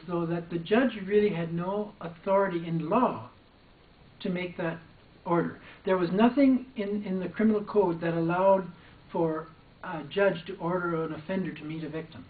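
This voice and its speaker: An older man, a former probation officer, recounting a personal story in a hesitant way with frequent restarts. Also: high-pitched. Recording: distant, echoey, phone-like sound.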